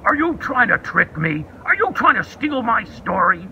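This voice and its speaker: A man voicing a greedy, sulking dragon that shouts petulantly. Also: sits high, sing-song, normal speed, muffled recording, slight background noise, pitched above the performer's own voice, full character voice. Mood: defiant.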